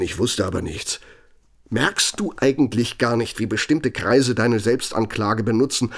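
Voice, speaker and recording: An actor playing a cynical, bitter middle-aged architect and old Nazi functionary in a German radio play, gravelly, with a pointed, self-justifying delivery. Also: male voice, on the low side. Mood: reproachful. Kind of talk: German radio play acting, dramatic monologue.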